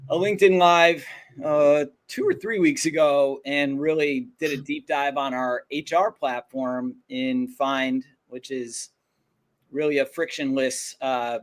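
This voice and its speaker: A man hosting a live webinar with a slightly raspy voice, presenting a product in a loose conversational way with restarts. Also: a little high.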